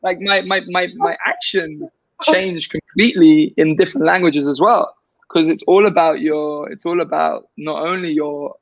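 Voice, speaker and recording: A man's voice: a little high, gravelly, dry recording, dull audio. A man guest in a casual conversation, rambling with many restarts and fillers.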